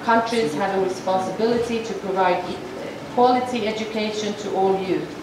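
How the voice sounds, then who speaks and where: A woman voicing a formal speech to an assembly as a sign language interpreter, measured and halting, with frequent restarts. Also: medium pitch, fairly even intonation. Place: large assembly hall. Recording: echoey hall from far off, noisy.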